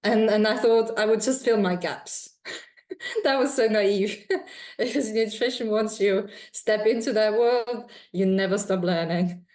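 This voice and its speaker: A woman from Austria with an Austrian accent, speaking as a guest nutritionist in a calm, measured and reflective way. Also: medium pitch. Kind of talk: interview answers.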